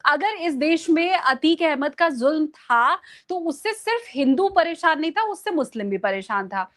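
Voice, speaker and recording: A woman's voice: high-pitched, animated, normal speed, a bit bright. A woman giving conversational political commentary, emphatic and explanatory, repeating phrases for stress. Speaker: journalist guest on a discussion show.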